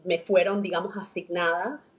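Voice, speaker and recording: A woman's voice: lowish voice, flat delivery, moderate pace. A woman co-hosting a philosophy podcast, engaged and explaining ideas, sometimes stumbling over repeated words. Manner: introduces guest, engaged, stammers when building a point. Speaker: podcast co-host. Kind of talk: podcast conversation.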